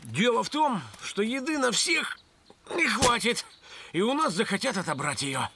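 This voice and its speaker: A man playing an earnest, gloomy, portly schoolboy in a cartoon, speaking solemnly and deliberately. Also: very high voice, very animated, background noise, full character voice. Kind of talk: cartoon voice acting.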